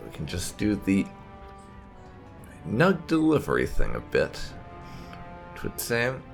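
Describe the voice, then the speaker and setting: A man's voice: lowish voice, wildly swooping pitch, takes it slowly, vocal fry, faint music behind. A man hosting a casual roleplay let's-play, musing and hesitating as he talks. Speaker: gaming channel host.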